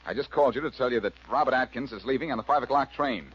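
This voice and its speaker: An actor playing a confident, wisecracking reformed crook turned sleuth in a 1940s radio drama, with a cool, glib delivery. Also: male voice, medium pitch, rapid-fire, fairly bright tone, slightly rough. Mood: brisk, cocky. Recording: muffled, hissy vintage radio recording.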